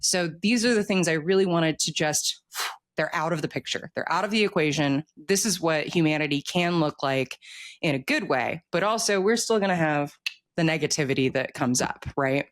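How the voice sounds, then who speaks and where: A middle-aged author speaks in a casual interview, with a medium-pitched voice. The delivery is candid and talkative, with informal, emphatic phrasing. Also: moderate pace, a bit bright.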